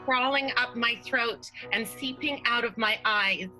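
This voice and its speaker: A woman's voice: a little high, measured pace, pushed and bright, soft background music, rough recording. A woman voicing a lovesick young woman in an improvised radio play, melodramatic and breathless. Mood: gushing.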